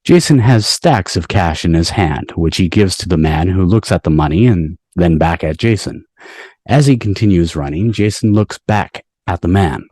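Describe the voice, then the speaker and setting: A man's voice: low-pitched, animated, moderate pace, darkish tone, breathy edge, no room sound, studio quality. A man reading stage directions as the narrator of a scripted table read. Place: video call from indoors.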